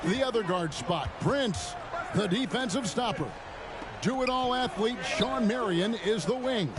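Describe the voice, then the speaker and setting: A man playing an energetic American TV basketball announcer, calling the game in a polished, punchy broadcast style. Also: high-pitched, a little slow, noisy background. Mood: polished, upbeat. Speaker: play-by-play commentator.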